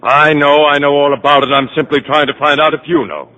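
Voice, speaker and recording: An actor playing a brusque, impatient doctor who interrogates a patient with condescending insistence in a radio drama. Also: male voice, fairly high pitch, fairly even intonation, a little fast, pushed and bright. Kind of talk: mid-century American radio drama acting. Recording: muffled old radio recording, hollow reverb.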